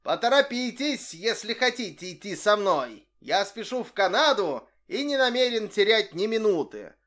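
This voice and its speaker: A man voicing a small, boastful old tramp who shouts and hurries everyone along. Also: high voice, very animated, moderate pace, voice pushed up. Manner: shouting and bragging, hurrying others on.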